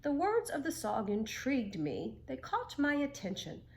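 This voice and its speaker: A woman's voice: high-pitched, wildly swooping pitch, normal speed, gravelly, a little hiss or hum, pitched above the performer's own voice, heavily acted. A woman voicing Mister Owl, a wise, kindly older male owl who explains things patiently in a children's story.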